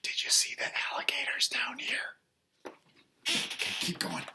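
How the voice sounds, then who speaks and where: A man's voice: unhurried, in a whisper. A man, a father co-hosting a children's read-aloud, chatting casually with joking, teasing and mock-dramatic interjections. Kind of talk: casual playful chat and interjections.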